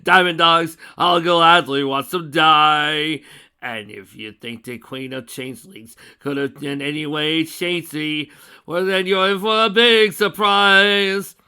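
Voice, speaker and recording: A man's voice: fairly high pitch, takes it slowly, bright, forceful tone, clean tone, voice pushed up, full character voice. A man voicing a manic, bloodthirsty male alicorn villain who cackles and sneers. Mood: gleeful singing.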